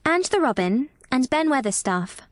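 A woman narrator plays a lonely, prickly little girl who speaks in an earnest, precise way. The voice is pitched well up. The sound is dry and close, like a storybook read at the mic. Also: sing-song, moderate pace, no echo. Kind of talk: audiobook character reading.

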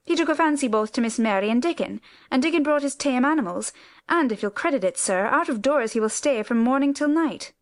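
A woman's voice: sits high, expressive, fairly quick, voice pushed up. A woman reader voicing a flustered, talkative older housekeeper who rambles through her explanations. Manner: breathless, rambling explanations, lots of "sir".

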